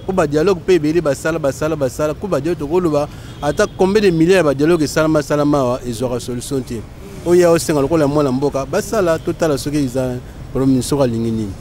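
A Congolese man in his fifties answers an interviewer outdoors. His voice is somewhat high, with faint street noise around it. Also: rapid-fire, a little mellow. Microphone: small handheld mic.

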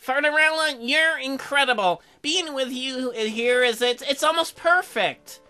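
A man's voice: very high-pitched, a little slow, bright, forceful tone, clear, resonant tone, soft background music, voice pushed up, exaggerated voice. A man voicing an earnest, goofy young farmer boyfriend who dreams big, in a visual novel game. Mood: dreamy, earnest.

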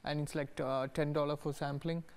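A young man from India joins a television studio interview as a student guest. He speaks hesitantly, leaning on fillers. His voice is smooth with very little rise and fall. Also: a little high, little pitch movement, normal speed, a little mellow. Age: young adult. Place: TV news studio.

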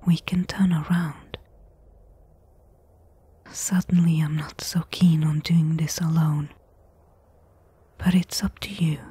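A woman playing a cautious adventurer in a soft-spoken ASMR roleplay, breathy, hushed and hesitant, speaking intimately. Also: sits low, level tone, slow, pauses often, no room sound, exaggerated voice. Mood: nervous, gentle. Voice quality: breathy, whispery. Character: cautious female adventurer exploring an ancient desert temple.

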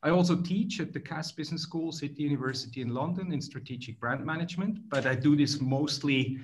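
A man's voice: on the high side, brisk. A middle-aged man from Switzerland with a Swiss accent, answering interview questions thoughtfully over a video call, often restarting phrases. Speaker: guest author. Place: plain room indoors.

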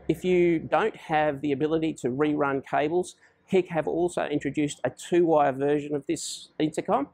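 A man's voice: mid-range voice, gravelly, a little mellow, dry recording. A man giving an informal, plain-spoken product overview as a presenter. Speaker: presenter for a security installer.

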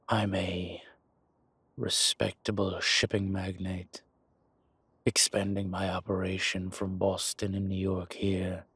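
A man playing a suave, scheming dragon mob boss in an intimate audio roleplay, with measured, husky, breathy delivery and deliberate pauses. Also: low-pitched, a bit bright, no room sound, heavily acted. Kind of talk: slow, intimate scripted roleplay monologue.

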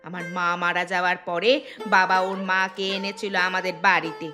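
A woman's voice: fairly low pitch, flat delivery, normal speed, music in the background, close to an everyday voice. A woman voicing a jealous, spiteful village wife who grumbles sarcastically in a fairy-tale cartoon. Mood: scornful, jealous. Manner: sharp-tongued, sarcastic, complaining.

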